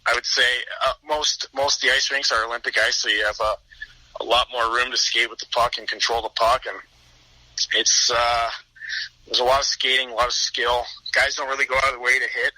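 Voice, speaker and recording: A man's voice: medium pitch, little pitch movement, fairly quick, pushed and bright, no echo, poor audio. A man in his twenties from the Washington DC area, a pro hockey player telling his story easygoingly in a podcast interview, repeating words as he thinks. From Washington DC area, United States. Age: 20s.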